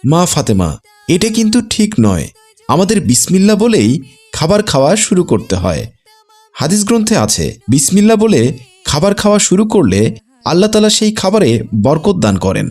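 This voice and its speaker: A man plays a gentle father in a children's cartoon. He instructs patiently, with very animated rises and falls in his intonation. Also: high-pitched, fairly quick, full character voice. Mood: patient, instructive. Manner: patient, didactic. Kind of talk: scripted children's cartoon dubbing.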